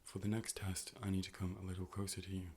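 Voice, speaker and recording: A man playing a calm, polite robotic AI optician in an ASMR role play, speaking in a measured, formal, deadpan way. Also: sits low. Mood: calm, clinical. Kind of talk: ASMR medical role play, personal attention.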